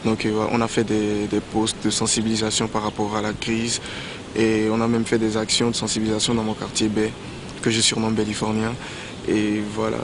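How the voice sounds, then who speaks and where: A man, an artist, speaking casually outdoors about adapting his work, with frequent restarts. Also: lowish voice. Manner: relaxed, halting with many restarts. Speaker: artist interviewed in a report. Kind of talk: casual interview answer. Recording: outdoor street recording with traffic noise.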